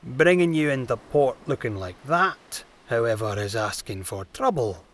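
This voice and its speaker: Pirate captain for historical audio drama, male actor: gruff, booming, authoritative, measured, looking out for his passengers. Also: very animated. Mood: stern, cautioning. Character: bearded older sea captain, booming, gruff but protective.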